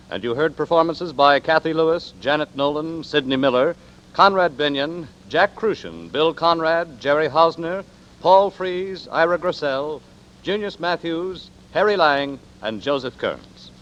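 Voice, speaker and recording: A man reading credits and promotions as a 1940s radio announcer, crisp and formal. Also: a little high, lively intonation, moderate pace, a bit bright. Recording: dull lo-fi 1940s radio broadcast.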